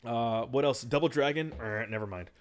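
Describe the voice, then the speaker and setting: A man co-hosting a podcast in a casual chat, animated as he steers the discussion. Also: mid-range voice, moderate pace. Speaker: podcast co-host.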